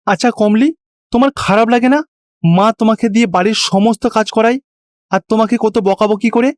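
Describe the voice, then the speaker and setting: A man's voice: high voice, moderate pace, no echo, studio quality, lightly acted. A man voicing an earnest, protective young husband in a cartoon. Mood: troubled. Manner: pleading, earnest.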